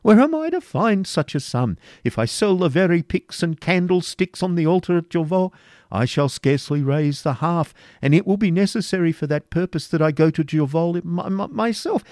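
A man's voice: high-pitched, animated, normal speed, darkish tone, no room sound, studio quality. A man voicing a pompous, indignant medieval prior who blusters scornfully and stammers when outraged. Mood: flustered.

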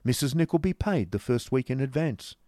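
A man's voice: medium pitch, expressive, a little fast, warm tone, dry recording, studio quality, pitched above the performer's own voice, close to an everyday voice. A male reader voicing a mincing, genteel woman of fifty who speaks primly and hesitantly. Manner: prim, dainty, hesitant with little coughs. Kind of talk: audiobook character voice.

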